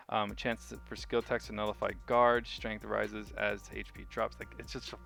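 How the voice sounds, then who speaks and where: A man's voice: fairly low pitch, gravelly, a bit bright. A man giving casual, unscripted video game commentary, rambling and thinking aloud as host of the review.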